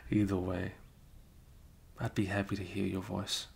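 A man playing a flustered, caring young male best friend with a crush, speaking haltingly in an improvised ASMR roleplay. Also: low-pitched, sing-song, vocal fry, a bit bright, exaggerated voice. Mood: gentle, caring. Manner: halting, bashful, chuckling, casual bro talk.

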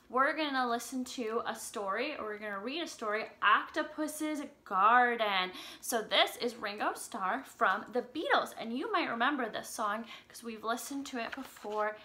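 A woman's voice: fairly high pitch, expressive, a little slow, pushed and bright. A young woman teaching small children and reading a picture book aloud, expressively, with simple questions and exaggerated emphasis. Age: young adult. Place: home room with an upright piano.

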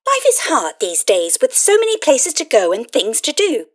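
High, bubbly little fairy assistant. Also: female voice, wildly swooping pitch, normal speed, full character voice. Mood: cheerful, helpful. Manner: gushing, encouraging, sales-like patter. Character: tiny cheerful electronic fairy assistant, eager and bubbly. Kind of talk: scripted audio play acting.

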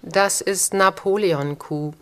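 A woman speaks a short recorded line at a slow pace. Her voice has an average female pitch and was captured in a voice booth.

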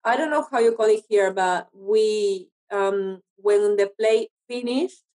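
A woman's voice: mid-range voice, takes it slowly, a little mellow, rough recording. Woman, mid-range voice, chatting casually as a podcast guest. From Argentina. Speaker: podcast guest, actor and writer. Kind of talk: casual interview chat.

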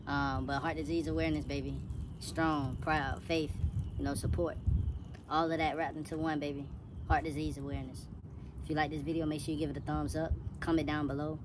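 A man's voice: fairly high pitch, flat delivery, fairly quick. A man in his thirties talking casually and informally to viewers as a lawn care video host, in a folksy way. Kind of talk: casual vlog sign-off to camera. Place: outdoors on a lawn beside a mower. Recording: loud outdoor ambient and vehicle noise.

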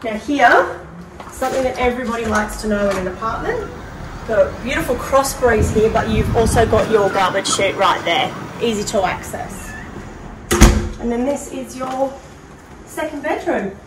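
A woman's voice: on the high side, lively intonation, measured pace. Australian woman, echoey house-tour narration. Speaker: presenter of a prize home tour. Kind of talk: casual walk-through tour narration. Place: walking through hard-surfaced apartment rooms. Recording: roomy echo, distant mic, background noise.

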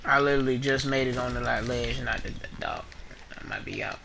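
A man's voice: medium pitch, fairly even intonation, brisk, gravelly, background noise. An eighth-grade boy bickering sarcastically with friends during a game on party chat. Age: teen. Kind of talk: casual gaming banter.

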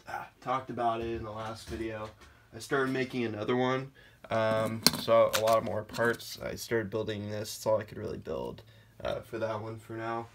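A young man speaks in a hoarse, slightly raspy voice, rambling casually with plenty of "uh" and "um". The phone recording carries a clear layer of noise and the crinkle of plastic bags. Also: mid-range voice. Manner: rambling, many fillers, off-hand. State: hoarse from a sore throat. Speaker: Lego hobby vlogger. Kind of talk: casual unboxing chat. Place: indoors, room with wooden floor. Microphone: phone camera held over the floor. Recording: noticeable background noise, package crinkling.

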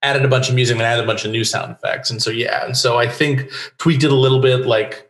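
A man's voice: medium pitch, steady tone, fast talker, a bit bright, reverberant. A man in his forties answering casually as an interview guest on a video call from a home room. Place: book-lined home room, indoors. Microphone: desk microphone on a video call.

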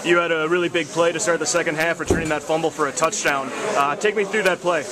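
A man reporting for a team, asking players brisk, direct postgame questions. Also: on the high side, steady tone. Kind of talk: sideline interview questions. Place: noisy locker room. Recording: loud crowd noise behind.

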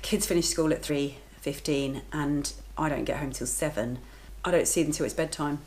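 A woman's voice: sits low, moderate pace, darkish tone, rough recording. A woman in her thirties talking casually to the camera in her home kitchen, matter-of-fact and chatty. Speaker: vlogger, lifeguard and working mother.

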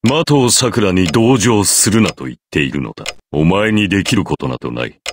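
Deep, solemn, condescending priest. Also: male voice, lively intonation, a little fast, slight background noise. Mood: cold, dismissive. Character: cold, imposing middle-aged priest, detached and sardonic. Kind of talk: anime visual novel acting.

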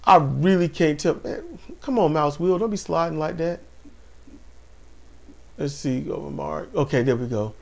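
A man co-hosting a casual wrestling talk show, animated and laughing often, speaking to viewers as y'all. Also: fairly high pitch, unhurried, gravelly, pauses often. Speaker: podcast co-host. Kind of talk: casual chat about wrestling.

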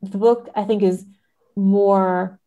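A woman's voice: medium pitch, steady tone, slow, mellow, muffled recording. A woman speaking as a scholarly interview guest, explaining ideas in a careful, hedging academic manner. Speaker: podcast guest, literary scholar. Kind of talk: thoughtful academic interview talk.

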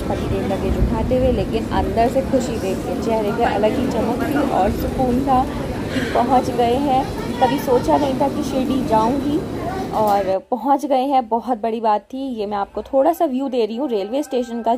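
A young woman narrating her travel vlog in a casual, chatty way, with filler pauses. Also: fairly high pitch, dark tone. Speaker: travel vlogger.

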